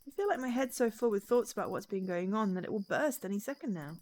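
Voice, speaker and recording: Woman reading as an earnest young heroine, lively pitch. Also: fast talker, natural voice. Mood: apologetic, confiding. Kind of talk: dramatic reading of game dialogue.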